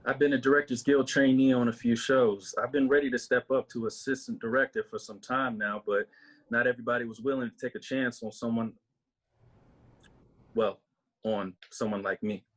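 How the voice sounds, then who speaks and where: An actor playing an earnest, slightly hesitant aspiring assistant director in a screenplay read. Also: male voice, mid-range voice, steady tone, normal speed, husky, close to an everyday voice, poor audio.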